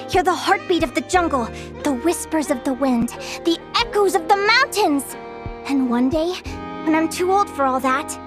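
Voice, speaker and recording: A woman's voice: high-pitched, lightly acted. A woman voicing an earnest, adventurous, chatty young fae changeling in game dialogue. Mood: dreamy, excited. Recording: music behind the voice.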